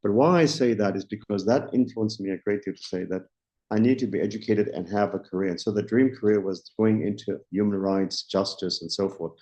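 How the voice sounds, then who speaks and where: A South African man around sixty, a company founder answering interview questions in a measured way, often restarting as he searches for words. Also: on the low side, darkish tone. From Johannesburg, South Africa. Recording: dull lo-fi recording.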